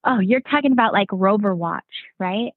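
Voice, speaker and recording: A woman's voice: medium pitch, normal speed, dry recording. Give me a woman Navy veteran rambling through a casual story in a mid-range voice over a narrow phone line. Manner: rambling, fills with "like" and "right?". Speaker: podcast guest, Navy veteran. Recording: tinny phone-like remote line.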